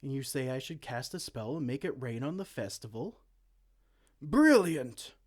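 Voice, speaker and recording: A man's voice: medium pitch, animated, unhurried. A man playing a dorky, petulant evil king in a comedic fantasy roleplay, pompous, theatrical and exasperated. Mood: pompous, pondering. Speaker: amateur roleplay audio performer.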